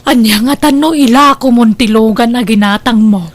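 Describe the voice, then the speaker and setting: A woman's voice: a little high, normal speed, no room sound, lightly acted. A woman with an Ilocano Filipino accent playing a scheming, guilt-ridden mother in an audio drama. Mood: worried. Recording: music under the voice.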